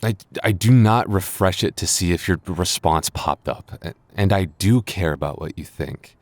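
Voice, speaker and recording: A man's voice: low-pitched, normal speed, dry recording. A man playing a flustered young man with a secret crush in an intimate boyfriend ASMR roleplay, stammering and getting defensive. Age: young adult.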